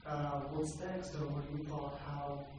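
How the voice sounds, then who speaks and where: A man's voice: fairly high pitch, fairly even intonation, normal speed, background noise. A man from the Republic, asking a question from the audience, hesitant and searching for words. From Czech Republic. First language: Czech. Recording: echoey hall, voice from far off.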